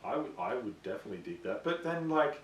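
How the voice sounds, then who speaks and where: A man's voice: mid-range voice, very animated, quick pace, a little hiss or hum. A man co-hosting a casual show of banter about games and films. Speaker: video show co-host. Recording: echoey room, distant mic.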